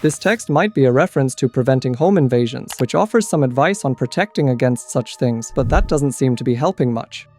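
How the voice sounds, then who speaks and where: A man's voice: mid-range voice, animated, fairly quick, few pauses, darkish tone. A man reading scripted explainer commentary as the host of a video essay. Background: background music.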